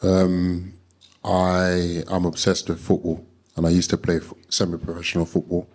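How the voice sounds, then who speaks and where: A young man, a panelist calmly telling how he got started. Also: deep voice, moderate pace. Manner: calm, matter-of-fact storytelling. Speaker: panelist, trading academy founder.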